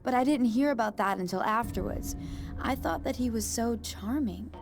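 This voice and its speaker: A woman's voice: a little high, normal speed, vocal fry. An actress playing a nervous young woman who works at a motel, hesitant and stammering, in a video game. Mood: uneasy. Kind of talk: video game cutscene acting. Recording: game audio over music.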